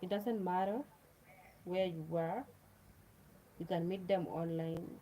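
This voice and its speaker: Kenyan woman, Luo speaker, livestream host, soft dark tone, rambling chatty delivery. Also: fairly low pitch, fairly even intonation, takes it slowly, slight background noise. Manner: chatty, repeats herself, talks straight to viewers. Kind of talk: casual livestream chat.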